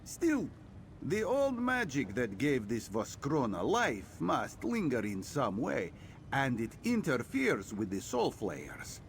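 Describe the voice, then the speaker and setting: An actor playing a sly, thoughtful cat-folk scout in a video game, theatrical and musing in manner. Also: male voice, medium pitch, huge pitch swings, takes it slowly, a little hiss or hum, exaggerated voice. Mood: intrigued. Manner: theatrical, musing, refers to himself in third person. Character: sly, thoughtful cat-folk male scout, speaks of himself in third person. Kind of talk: video game character dialogue.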